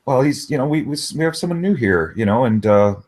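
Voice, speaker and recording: A man's voice: medium pitch, expressive, fast, darkish tone. A man in a casual online chat who rambles, filling his talk with "you know" and "right". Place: online voice hangout.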